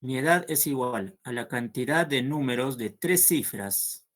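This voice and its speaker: Man, math teacher, slow unhurried delivery, patient, keeps checking understanding. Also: medium pitch, expressive, fairly bright tone. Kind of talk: online math class, solving problems aloud.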